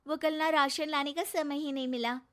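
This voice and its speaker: A polite young female student in a moral-story cartoon, voiced by a woman. Her voice is high and sweet, courteous towards her elders. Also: fairly even intonation, moderate pace, lightly acted.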